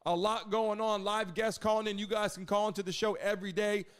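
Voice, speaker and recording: Fast-talking, high-voiced male livestream host. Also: level tone, heavy background noise. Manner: direct, promotional address to viewers. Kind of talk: casual livestream hosting and promotion.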